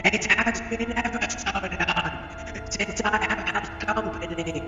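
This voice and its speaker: High, bleating alien captive. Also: male voice, lo-fi sound. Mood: grateful, forlorn. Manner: halting, solemn. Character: lonely, solemn male alien prisoner, small creature. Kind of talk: fan audio drama acting. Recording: distant, echoey reverb, music underneath.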